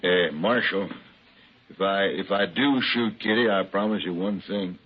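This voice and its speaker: Low-voiced, menacing western outlaw. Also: male voice, measured pace, slightly rough. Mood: cold menace. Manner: drawling, threatening, folksy rural speech. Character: menacing, smug male outlaw bank robber and killer. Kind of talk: 1950s radio western acting. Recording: muffled, faintly noisy old radio recording.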